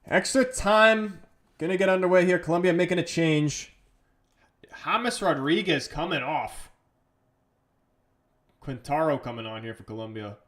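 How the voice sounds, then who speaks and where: A man's voice: a little high, a little slow, long pauses, a bit bright. Chatty English man streaming a match. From England. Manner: chatty, reads viewer comments aloud, swears casually. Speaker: livestream host. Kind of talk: casual live match commentary and chat.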